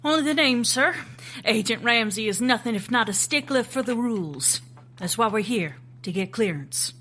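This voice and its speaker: An actress playing a composed, sharp-witted female agent in an audio drama, asking direct, dry questions in a slightly raspy voice. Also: on the high side, lively intonation, moderate pace.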